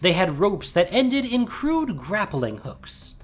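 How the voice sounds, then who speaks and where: A man giving a scripted, dramatic fantasy narration as storyteller, measured and suspenseful. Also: high voice, very animated. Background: music.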